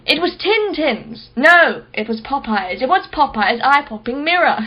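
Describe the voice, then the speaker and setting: A woman's voice: sits high, very animated, slow, dull audio, heavily acted. A woman playing a wealthy, sarcastic customer in a radio play, with a wordy, theatrical way of talking. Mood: haughty, teasing.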